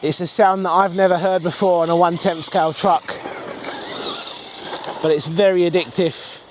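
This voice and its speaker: A man with a notably high voice hosts a casual review video. He talks in a chatty, enthusiastic way straight to his audience. Some light noise sits behind his voice. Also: steady tone, normal speed. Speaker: RC car reviewer and video host.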